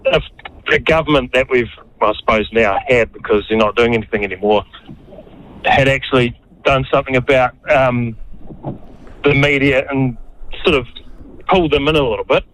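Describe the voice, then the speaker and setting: A man calling a talkback show, halting, with many fillers and restarts. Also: medium pitch, fairly bright tone, slight background noise, low-quality recording. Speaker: caller. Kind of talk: talkback phone call.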